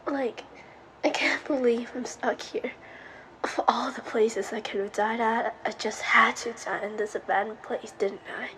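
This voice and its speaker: A woman's voice: on the high side, fairly even intonation, unhurried, background noise, close to an everyday voice. A woman voicing a bored, sarcastic young female ghost in a scripted audio monologue, girlish and sulky.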